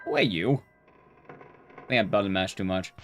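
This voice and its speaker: A man's voice: on the low side, big pitch swings, normal speed, creaky, soft background music. A man streaming a game, chatting casually in a rambling, blunt, conversational way with his viewers. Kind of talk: casual live-stream chat while gaming.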